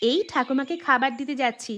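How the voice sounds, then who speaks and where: Woman voicing a brisk, caring young village woman. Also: high-pitched, fairly even intonation, a bit bright. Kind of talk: cartoon story dialogue.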